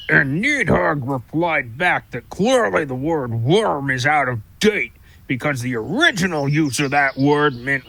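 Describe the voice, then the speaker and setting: A man's voice: mid-range voice, vocal fry, bright, forceful tone, heavily acted. A man voicing a sly, mean, gossiping squirrel in an audio drama, flippant and boastful as he tells his tales. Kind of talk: audio drama character acting.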